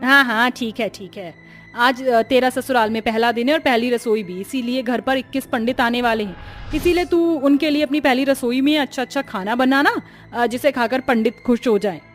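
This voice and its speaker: A woman's voice: on the high side, moderate pace, faint music behind, lightly acted. A woman voicing a rich middle-aged mother-in-law in a cartoon story, bossy and disapproving. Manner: complaining, bossy. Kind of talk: Hindi cartoon story acting.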